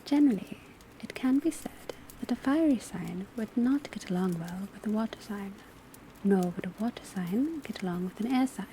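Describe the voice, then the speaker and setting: A woman playing a professor of divination at a witch school, lecturing in a soft, breathy, measured whisper in an ASMR roleplay. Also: medium pitch, expressive, lightly acted. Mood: calm, instructive. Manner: measured, instructive, hushed. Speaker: teacher. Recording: close ASMR recording with background ambience noise. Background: crackling fireplace, pencil writing, rain on rooftop.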